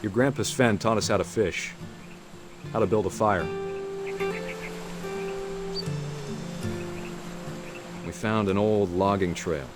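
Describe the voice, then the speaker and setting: A very low-voiced American father in middle age, played by a male actor for a video game. He is earnest and outdoorsy, encouraging his child yet firm. Music plays behind his words. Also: moderate pace, natural voice. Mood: fond, reminiscing.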